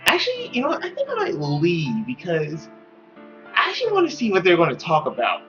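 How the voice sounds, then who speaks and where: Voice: mid-range voice, wildly swooping pitch, fairly quick, fairly bright tone, dull audio, soft background music. A playful, theatrical narrator reading a story aloud, stumbling now and then and dropping in joking asides. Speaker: let's play narrator reading a visual novel.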